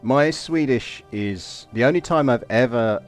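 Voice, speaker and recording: A man's voice: medium pitch, unhurried, faint music behind. An Englishman chatting casually while live-streaming a game, rambling and self-deprecating. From England. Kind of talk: casual live gameplay commentary.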